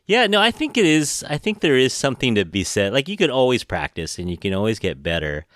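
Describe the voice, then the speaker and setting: Man, podcast host, from the united states, animated pitch, casual chatty interview, frequent laughter. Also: fairly quick, no echo. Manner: chatty, laughs often, many fillers.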